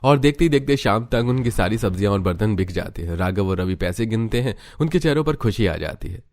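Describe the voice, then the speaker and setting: A man narrating a Hindi cartoon story in an even storytelling voice. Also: fairly low pitch, a little fast, dry recording.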